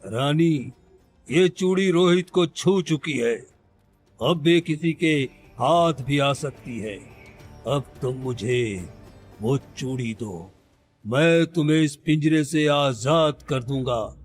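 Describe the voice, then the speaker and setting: A scheming cartoon snake villain, acted by a man, speaks very slowly and fairly high. He is deceitful and hungry for power, gloating with menace over a music bed. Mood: coaxing menace. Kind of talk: Hindi cartoon story voice acting.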